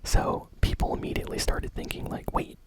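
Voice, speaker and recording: A man hosting ASMR gaming news in a soft, casual whisper, full of fillers like "like" and "you know". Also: fairly quick.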